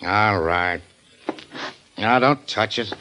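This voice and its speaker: An actor playing a gruff police sergeant in a 1940s radio drama, blunt and brusque. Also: male voice, fairly low pitch, slow, bright, forceful tone, a little hiss or hum.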